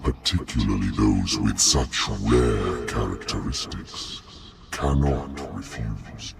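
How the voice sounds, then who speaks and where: A man voicing an ancient godlike monster in a video game, solemn, grandiose and commanding, with a cavernous gravelly voice. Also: rumbling low, slow, loud music behind the voice, exaggerated voice. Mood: ominous, commanding. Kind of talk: video game villain monologue.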